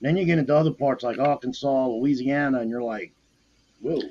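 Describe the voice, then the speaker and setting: A man's voice: mid-range voice, brisk, a little mellow. A man with a New York accent bantering bluntly with friends on a live stream. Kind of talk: casual chat. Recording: muffled lo-fi audio.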